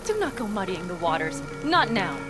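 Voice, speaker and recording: A woman playing a fiery, headstrong young nomad leader in a video game, speaking bluntly and forcefully. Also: medium pitch, big pitch swings, moderate pace, close to an everyday voice. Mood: exasperated. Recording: music behind the voice.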